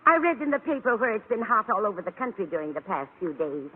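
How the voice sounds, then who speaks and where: A woman's voice: mid-range voice, sing-song, fast, breathy edge. An actress playing a chatty, kindly older landlady with an American accent in a vintage radio comedy. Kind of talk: 1940s-50s radio comedy acting. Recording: thin, tinny vintage radio recording.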